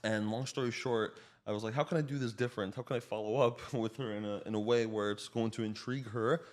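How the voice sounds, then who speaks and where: A man's voice: on the low side, fairly even intonation, fast talker. A man with a slight Slovak accent, a native Slovak speaker, telling stories casually in a rambling, self-interrupting way as a podcast guest. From child of Slovak parents. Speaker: podcast guest, real estate agent. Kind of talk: casual animated storytelling.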